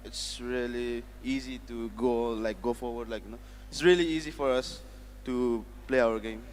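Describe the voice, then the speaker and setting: A man's voice: medium pitch, steady tone, normal speed. A young esports player whose first language is Nepali answers in hesitant English, leaning on fillers. Faint event noise sits behind his voice. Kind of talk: post-match interview answers.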